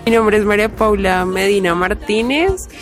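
A woman's voice: medium pitch, moderate pace, faint music behind. A young woman student with a Colombian accent giving a spontaneous interview answer. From Bucaramanga, Colombia. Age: young adult.

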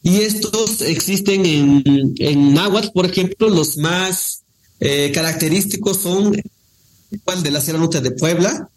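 A man, a Nahuatl speaker from Guerrero, reading poems and explaining language in an unhurried way, with many pauses and fillers. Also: high-pitched, slight background noise, poor audio. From Guerrero, Mexico. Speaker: guest poet. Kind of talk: poetry reading and casual conversation.

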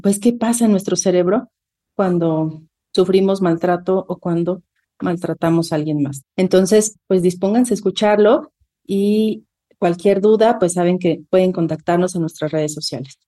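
Mellow, low-voiced woman co-host. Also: normal speed, no echo. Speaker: radio co-host. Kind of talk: scripted radio narration. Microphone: studio microphone.

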